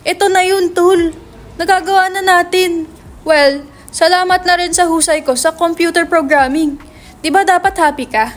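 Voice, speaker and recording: A woman's voice: very high voice, fairly even intonation, moderate pace. A woman playing a brash, foul-mouthed young male writer in a dramatic script reading, slangy and insistent. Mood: angry, insistent.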